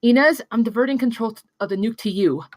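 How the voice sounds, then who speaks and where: A woman's voice: a little high, quick pace, no echo, studio quality, lightly acted. A woman playing a cool, precise technical pilot in a screenplay table read. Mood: commanding.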